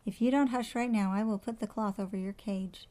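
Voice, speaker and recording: A woman's voice: medium pitch, fairly even intonation, fairly quick, mellow, close to an everyday voice. Woman reading as a pouting, sulky little schoolgirl. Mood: indignant. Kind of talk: children's storybook character voice.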